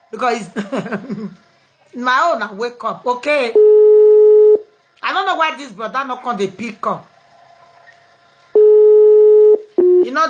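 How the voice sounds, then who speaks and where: A woman's voice: on the high side, highs cut off, heavy background noise. A Nigerian woman hosting a live online program, chatting casually with callers and switching freely between pidgin, English and local languages.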